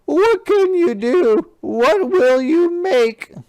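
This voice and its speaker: A woman voicing a vain, bossy storybook king with an imperious, emphatic and grandly excitable manner. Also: extremely high pitch, drawn-out words, no room sound, pitched above the performer's own voice, exaggerated voice. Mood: demanding.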